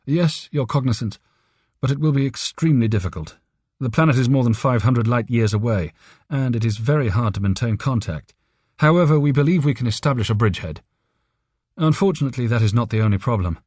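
An earnest alien subordinate from an audiobook , acted by a man, formal and deferential. He speaks in a husky voice lifted above the narrator's usual register, worried and respectful. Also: medium pitch, lively intonation, brisk, a little mellow, no echo, studio quality, pitched above the performer's own voice. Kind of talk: audiobook character reading.

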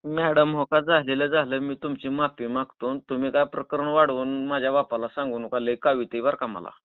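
A man's voice: medium pitch, fairly even intonation, normal speed, clear, resonant tone, no echo, close to an everyday voice. Man acting as a stubborn drunk on tinny phone. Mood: grudgingly apologetic. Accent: rural Maharashtrian Marathi. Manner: rambling, stubborn, cheeky. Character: argumentative drunk man pestering a woman on the phone. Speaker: prank caller. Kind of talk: prank phone call. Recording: narrow tinny phone-call recording.

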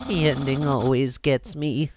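An actor playing a naive, vain, excitable male cartoon skeleton in a video game dub, theatrical and earnest. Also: mid-range voice, unhurried, slight background noise, natural voice, poor audio. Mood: proud, earnest. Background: game music.

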